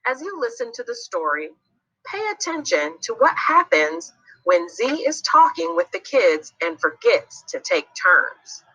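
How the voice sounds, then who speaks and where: A woman's voice: on the high side, very animated, a little slow, bright, forceful tone, slightly rough, rough recording. A woman narrating a children's read-along story expressively, putting guiding questions to young listeners.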